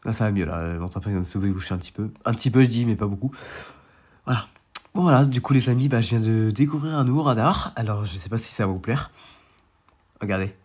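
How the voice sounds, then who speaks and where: A man's voice: lowish voice, quick pace, a little mellow, slightly rough. A young man with a stuffy, congested nose from a cold, rambling casually through a weather radar while complaining about being sick. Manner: rambling, repetitive, full of restarts. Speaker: storm chaser and weather vlogger. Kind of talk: casual screen-share walkthrough.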